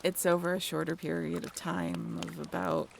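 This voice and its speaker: Creaky-voiced young mermaid woman, played by an actress. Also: lowish voice, little pitch movement, slight background noise. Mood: wry, amused. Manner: casual, halting, softly self-deprecating. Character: friendly young half-mermaid woman, wry and bashful. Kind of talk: intimate audio roleplay, one-sided conversation.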